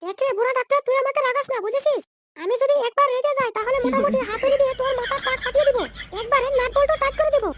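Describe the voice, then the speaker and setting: Man putting on a squeaky, quarrelsome cartoon woman. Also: fairly even intonation, very fast, a bit bright. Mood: furious. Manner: scolding, threatening, slangy insults. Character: brash, quarrelsome, scheming young cartoon woman. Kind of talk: comedy cartoon dialogue.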